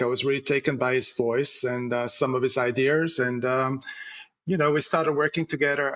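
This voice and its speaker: A man's voice: medium pitch, fairly bright tone. A middle-aged man, a music industry guest, telling his story casually in an interview. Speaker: music publisher and manager guest.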